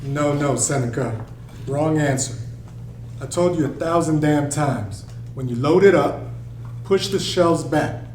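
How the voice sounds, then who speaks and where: In an echoey room, away from the mic, a man reads the part of a stern middle-aged father who runs a restaurant. His voice is mellow, and he speaks as a caring but scolding parent at a script table read. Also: fairly high pitch, measured pace, natural voice. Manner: scolding, then fatherly and reassuring. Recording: echoey room, distant mic, faint hum.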